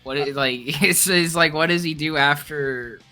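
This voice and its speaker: A man's voice: on the high side, a little slow, sharp tone, soft background music. A young man co-hosting a casual ranking chat, giving opinions in hesitant, rambling fragments. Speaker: co-host of a character ranking discussion.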